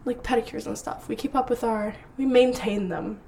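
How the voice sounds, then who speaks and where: A woman's voice: a little high, steady tone, normal speed, warm tone. A young woman co-hosting a casual podcast, giggly and easygoing, still getting over being sick. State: recovering from illness. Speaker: podcast co-host. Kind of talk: casual chat.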